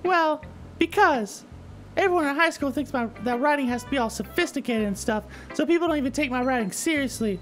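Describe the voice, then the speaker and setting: A bratty, cutesy visual novel schoolgirl acted by a woman. Her high voice is snippy and smug, quick to take offense, with music underneath. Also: close to an everyday voice. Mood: defensive. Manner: blunt, snippy, defensive.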